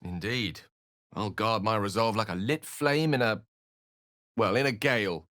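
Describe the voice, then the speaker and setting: A man's voice: lowish voice, unhurried, fairly bright tone, dry recording. A man voicing an eloquent, self-assured wizard in a fantasy role-playing game, speaking articulately in ornate, theatrical phrases with dry wit. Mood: measured, wry. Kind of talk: theatrical game dialogue in short separate lines.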